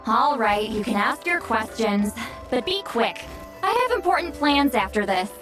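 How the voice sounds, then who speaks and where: Aloof young shaman woman, high voice, animated pitch, curt, grumpy, game dialogue over music. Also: a little slow. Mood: grudging. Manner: curt, haughty, grudging. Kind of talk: video game voice acting.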